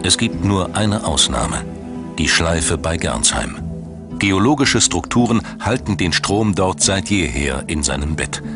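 A male narrator. Clear music plays underneath his voice. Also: low voice, sing-song, measured pace. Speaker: documentary narrator. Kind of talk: scripted documentary narration. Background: background music.

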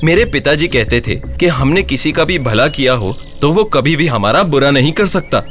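A man voicing a clever, fearless, polite young villager in an animated Hindi story. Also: a little high, moderate pace, soft background music. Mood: sly, polite.

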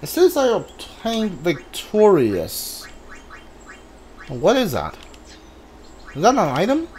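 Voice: medium pitch, wildly swooping pitch, slow pace, darkish tone, slight background noise. A video game streamer giving casual, hesitant commentary while thinking aloud.

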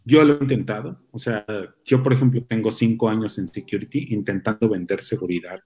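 A man's voice: fairly low pitch, steady tone, brisk, a little mellow. A young man with a Mexican Spanish accent, a security consultant talking loosely in a livestream, full of restarts and slang. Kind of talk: casual livestream talk. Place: small room indoors, seated at a desk.

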